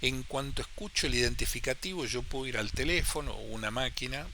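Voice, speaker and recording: A man with a Rioplatense Spanish accent talks through amateur radio in a loose, chatty teaching style. His voice is very bright and sharp. He repeats phrases for emphasis and voices the questions he expects from listeners, with a faint hiss behind him. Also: mid-range voice, fairly quick. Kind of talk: casual how-to talk.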